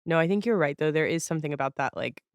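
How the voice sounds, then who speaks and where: Clear-voiced woman, casual host. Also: sits low, lively intonation, very fast, no echo, clean studio sound. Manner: informal, reflective, affirming. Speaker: podcast host, interviewer. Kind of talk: casual conversational interview.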